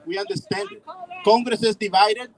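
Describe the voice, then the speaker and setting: A man's voice: very high-pitched, sing-song, normal speed, creaky, a crowd in the background. A man speaking at an outdoor press conference, firm and urgent. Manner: firm, urgent call to action. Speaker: TPS organizer.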